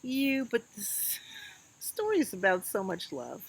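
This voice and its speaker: Lady doing kids storytime, takes it real slow, sing-song and expressive. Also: mid-range voice, creaky, husky. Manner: sing-song, expressive, addressing children directly. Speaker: children's librarian, storytime host. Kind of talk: read-aloud storytime for young children.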